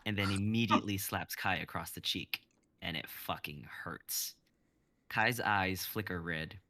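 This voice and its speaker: Gravelly low male narrator. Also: no room sound. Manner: even, descriptive, with stage directions. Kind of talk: reading a script aloud.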